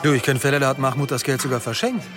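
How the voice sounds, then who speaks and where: An actor playing a middle-aged police detective in a naturalistic radio drama, placating yet headstrong, conversational and a little defensive. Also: male voice, mid-range voice, rapid-fire, music in the background, lightly acted. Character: male police colleague and ex-lover, placating, headstrong.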